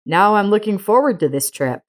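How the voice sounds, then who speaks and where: A woman voicing a gentle, reassuring father in a narrated story, speaking in a soothing way. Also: mid-range voice, very animated, moderate pace, natural voice. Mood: thoughtful.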